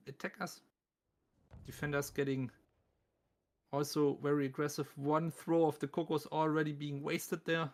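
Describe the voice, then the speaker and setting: A man's voice: on the high side, a bit bright. A man with a thick German accent doing live esports commentary on a game, casual, joking and self-deprecating as he talks to his viewers.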